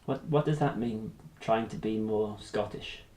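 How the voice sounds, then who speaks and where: A man asking gentle, probing interview questions. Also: lowish voice, unhurried. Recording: echoey room with faint noise.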